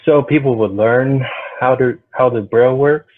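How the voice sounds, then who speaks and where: A man's voice: mid-range voice, moderate pace. A young man answering as a podcast guest, hesitant and brief in his replies. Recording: tinny phone-line sound.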